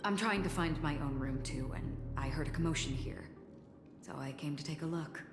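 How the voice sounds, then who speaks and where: Enigmatic swordswoman, voiced by an actress, low female voice, calm and reserved, game dialogue, long reverb, music behind. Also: lightly acted. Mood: calm, polite. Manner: measured, polite, reserved, cryptic. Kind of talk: video game voice acting.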